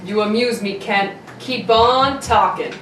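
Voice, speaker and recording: A woman's voice: mid-range voice, slow pace, lightly acted. A woman playing a hostile, dismissive male masked vigilante in a 1940s radio serial reading. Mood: mocking. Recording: roomy, distant microphone with faint noise.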